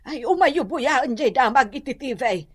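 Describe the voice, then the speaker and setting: A woman's voice: sits high, expressive, moderate pace, slightly rough. An actress with an Ilocano accent playing a concerned older village mother in a radio drama. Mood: anxious.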